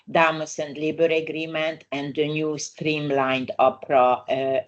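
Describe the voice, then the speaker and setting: Older Hungarian-accented woman presenting. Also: a bass voice. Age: 60s. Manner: unhurried, frequent "uh" fillers, non-native grammar. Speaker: migration lawyer presenting a webinar. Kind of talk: webinar presentation.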